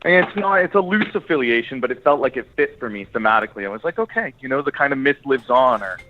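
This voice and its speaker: Animated-sounding screenwriter man on dull audio. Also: medium pitch, fast, a little hiss or hum. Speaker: screenwriter being interviewed. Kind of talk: casual interview chat.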